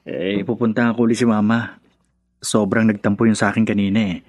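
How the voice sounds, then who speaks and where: A man's voice: on the low side, flat delivery, brisk, a little mellow, no room sound, muffled recording, lightly acted. A man with a Filipino accent playing an appeasing young husband and dutiful son in a radio drama, speaking in a hesitant, coaxing way.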